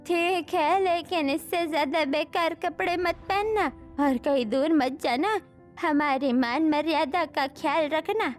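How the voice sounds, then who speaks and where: A woman playing an affectionate, mildly scolding old village grandmother in a Hindi animated story. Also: sits high, unhurried, soft background music.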